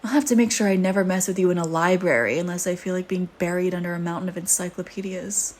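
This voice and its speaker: A woman's voice: lowish voice, fast talker, a little mellow, natural voice. A woman playing a flirty, teasing vampire in an intimate audio roleplay, with a sardonic, casual manner. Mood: fond, teasing. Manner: sardonic, teasing, casual murmuring with sighs and whispered asides.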